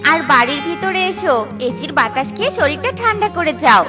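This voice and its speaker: Woman as a high-voiced kindly mother bird. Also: very animated, brisk. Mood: welcoming. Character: kind, forgiving mother bird, female. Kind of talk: children's cartoon dubbing. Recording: loud children's music behind.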